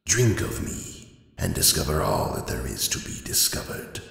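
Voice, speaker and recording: Super old creaky river spirit, wheezy n dusty, slow and ominous, tons of echo. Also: male voice, a bass voice, huge pitch swings, fairly bright tone, exaggerated voice. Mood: grandiose. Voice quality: wheezy, dusty, breathy whisper. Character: ancient dusty river spirit of knowledge, all-knowing, wheezing tempter. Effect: echoing reverb. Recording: cavernous echo, long reverb.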